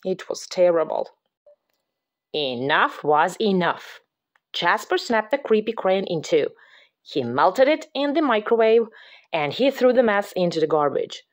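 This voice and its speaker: A mother reads a spooky picture book aloud at an unhurried pace. Her pitch rises and falls with lively expression. Now and then she slips into a theatrical whisper. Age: adult. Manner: dramatic, drops to whispers for creepy moments. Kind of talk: expressive read-aloud storytelling.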